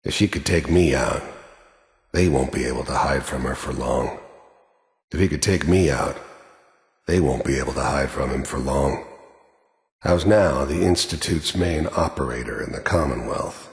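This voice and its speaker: An actor playing a hardened, cynical middle-aged mercenary in a video game, with an American accent, a gruff voice and a terse, sardonic, menacing delivery. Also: male voice, extremely low pitch, moderate pace. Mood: cold, matter-of-fact. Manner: terse, matter-of-fact, sardonic. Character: hardened cynical male mercenary, cold, menacing, world-weary. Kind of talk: video game character monologue and dialogue. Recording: echoey hall-like reverb.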